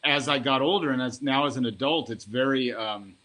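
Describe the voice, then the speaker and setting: A man interviewing musicians in a relaxed, curious, conversational way. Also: mid-range voice, brisk. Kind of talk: casual interview chat.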